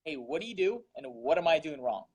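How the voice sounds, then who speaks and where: A man's voice: fairly high pitch, big pitch swings, brisk, a little mellow, slightly rough, lo-fi sound. A young man from Russia, a guest entrepreneur, talking earnestly about his daily grind, repeating words and using many fillers. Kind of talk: casual interview talk.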